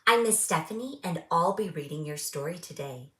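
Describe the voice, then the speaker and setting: Female children's read-aloud host, lowish pitch, unhurried and expressive delivery, roomy echo on the recording. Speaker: story time host reading a children's book aloud. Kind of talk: children's read-aloud storytelling and friendly presenting to young viewers.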